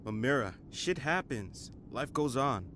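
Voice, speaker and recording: Actor as a curt, hurting son, noisy backdrop. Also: male voice, mid-range voice, expressive, a little slow, natural voice. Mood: guarded, dismissive. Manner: curt, withdrawn. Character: hurting, guarded son, a born-in hitman. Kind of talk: dramatic audio drama acting.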